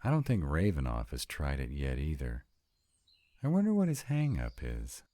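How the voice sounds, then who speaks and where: A man's voice: very deep voice, huge pitch swings, moderate pace, warm tone, no room sound, heavily acted. A man playing a wry, sarcastic young vampire in a scripted roleplay audio drama. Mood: wry, hesitant.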